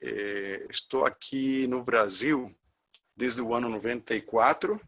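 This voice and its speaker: Chilean man teaching with gravelly voice. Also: medium pitch, slow pace, a bit bright. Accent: Chilean Spanish. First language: Spanish. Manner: hesitant, many fillers and restarts. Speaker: course instructor. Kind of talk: lecture to a class.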